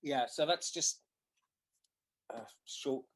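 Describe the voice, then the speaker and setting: Relaxed man in his fifties. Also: a little high, takes it slowly. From London. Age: 50s. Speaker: artist giving a lecture. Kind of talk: lecture Q&A.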